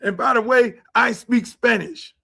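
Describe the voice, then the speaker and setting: A man hosting a livestream show. He rants in a blunt, profane, slang-heavy way and keeps slipping into quick impressions of other people. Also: very high-pitched, vocal fry, bright, forceful tone, husky. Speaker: show host. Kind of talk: casual livestream rant. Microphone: streaming setup.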